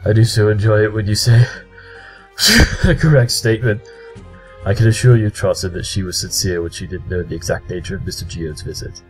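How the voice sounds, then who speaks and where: Aloof pony sleuth for audio drama male actor: low register, haughty, exacting delivery. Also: brisk, faint music behind, close to an everyday voice, rough recording. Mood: smug, measured. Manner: haughty, precise, pedantic. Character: aloof male pony detective, Sherlock Holmes parody.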